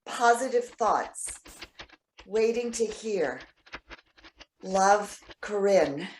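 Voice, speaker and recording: A woman's voice: medium pitch, animated, drawn-out words, background noise. A woman playing a lonely, proud elderly lady in love, in a staged play reading. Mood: tender, hopeful. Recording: echoey room.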